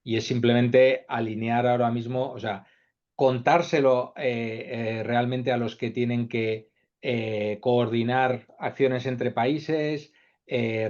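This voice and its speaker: A man's voice: medium pitch, steady tone, slow, darkish tone, highs cut off. A man hosting a webinar interview, summarizing and asking questions hesitantly with many fillers. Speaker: host interviewer.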